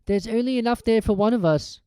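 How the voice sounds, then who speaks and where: A woman's voice: on the high side, a little fast, dark tone, clean tone, no echo, voice pushed up, natural voice. A woman doing a brave, earnest young schoolboy wizard. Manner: earnest, urgent.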